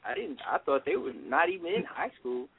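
A middle-aged man from Washington, D.C., a guest musician telling his story in a relaxed, rambling way. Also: fairly high pitch, fairly quick, vocal fry, husky. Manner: relaxed, rambling, many fillers. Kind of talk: casual interview. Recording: phone-like line.

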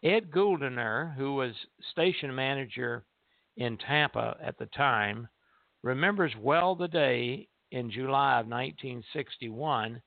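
A man co-hosting and producing a radio show, chatting and reading aloud in a halting way over a muffled phone line. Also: mid-range voice, vocal fry, dry recording. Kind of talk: casual radio chat and reading aloud from a book.

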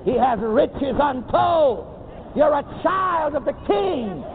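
A man preaching a sermon, shouting and leading his congregation in call and response. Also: extremely high pitch, lively intonation, slow pace. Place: church. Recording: tinny lo-fi congregation recording with crowd noise.

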